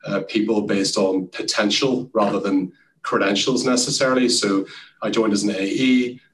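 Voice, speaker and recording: An Irishman speaking as a guest in a casual interview, relaxed and chatty with frequent fillers. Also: fairly low pitch, normal speed, recorded from a distance, poor audio. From Ireland. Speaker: guest, sales executive.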